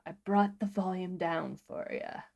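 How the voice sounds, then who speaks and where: A woman co-hosting a livestream, giving a casual step-by-step software walkthrough. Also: on the low side, huge pitch swings, measured pace, gravelly. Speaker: livestream co-host and tutorial presenter; sound designer.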